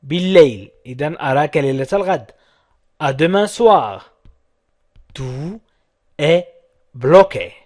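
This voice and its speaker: A man who is a native Arabic speaker, teaching as a language tutor with deliberate word-by-word phrases, repeated and translated. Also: on the high side, animated, darkish tone.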